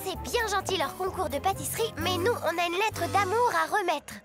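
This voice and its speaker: A woman voicing a lively, opinionated young schoolgirl in a cartoon, quick to judge. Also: very high-pitched, music in the background. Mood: curious, impatient. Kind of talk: cartoon dub.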